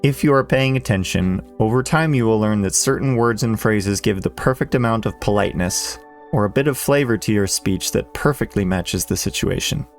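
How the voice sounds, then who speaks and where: A man in his thirties, a language teacher, reading a measured, earnest voice-over lesson. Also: on the low side. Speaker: Japanese teacher. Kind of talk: scripted educational voice-over. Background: soft background music.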